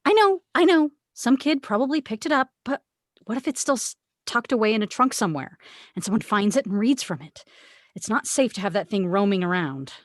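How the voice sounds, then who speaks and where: A woman's voice: a little high, animated, brisk, dry recording, clean studio sound, close to an everyday voice. A woman reading the part of a loving, superstitious mother who anxiously looks out for her daughter, in an amateur script read. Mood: worried, insistent.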